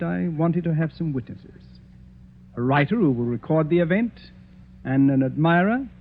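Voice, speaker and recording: An actor playing a proud, egotistic detective who speaks in a boastful, commanding way, in 1940s radio acting style. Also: male voice, a little high, a little hiss or hum. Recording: tinny phone-like old radio recording with music.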